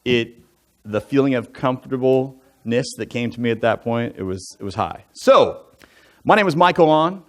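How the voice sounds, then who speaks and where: A man in his forties, an elder from the United States, telling his life story to a church congregation in a relaxed, conversational way, full of asides and jokey fun facts. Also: mid-range voice, moderate pace. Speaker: church elder giving a testimony. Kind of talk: personal testimony talk from the pulpit. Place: church sanctuary.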